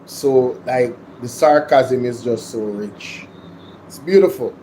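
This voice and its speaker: A man's voice: medium pitch, takes it slowly, warm tone, a little hiss or hum, poor audio. A man with a Jamaican accent hosting a reaction show, commenting emphatically on a political interview clip. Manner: emphatic, sarcastic asides.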